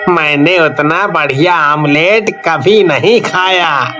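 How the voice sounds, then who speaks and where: अभिनेता की आवाज़ में बहुत धीरे बोलता गाँव वाला. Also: male voice, fairly high pitch, takes it slowly, over music. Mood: appreciative. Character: friendly, appreciative village man customer. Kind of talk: cartoon story dialogue.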